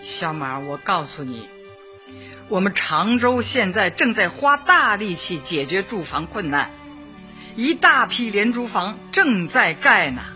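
A woman playing a kindly, plain-spoken community party secretary in a Chinese radio drama, motherly as she advises and gently scolds. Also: medium pitch, sing-song, unhurried. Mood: frank, reassuring. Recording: muffled radio drama with music underneath.

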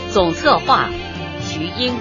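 Slow, formal woman announcer over music. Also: mid-range voice, very animated. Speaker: radio drama announcer. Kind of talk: formal scripted credits announcement. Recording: dull sound under loud music.

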